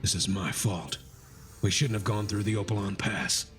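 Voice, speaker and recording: An actor playing a grave, dutiful battalion commander in a sci-fi motion comic, clipped and commanding. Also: male voice, fairly low pitch, normal speed, a bit bright, close to an everyday voice. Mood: regretful, weary. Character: stern, weary male battalion commander, self-blaming and dutiful. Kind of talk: animated motion-comic drama acting. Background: music.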